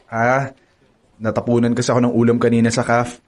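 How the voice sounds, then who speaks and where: A man's voice: medium pitch, monotone, brisk, natural voice. A smug young campus heartthrob in a radio drama, teasing and sure of his charm, played by a man. His voice sits in a middle register and his talk is playful and cocky. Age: young adult. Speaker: narrator and lead character.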